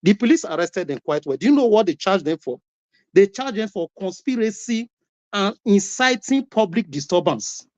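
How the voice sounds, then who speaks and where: A man's voice: high voice, very animated, normal speed. A man with a Nigerian accent arguing on a call-in broadcast, firing rhetorical questions and repeating words as he presses each point. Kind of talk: heated debate contribution. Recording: lo-fi online call audio.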